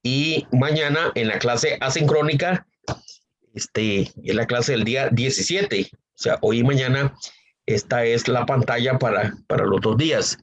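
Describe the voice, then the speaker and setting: A man teaching a university statistics class online, hoarse from a cold, speaking unhurriedly with frequent fillers and repeated phrases. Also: medium pitch. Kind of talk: online lecture.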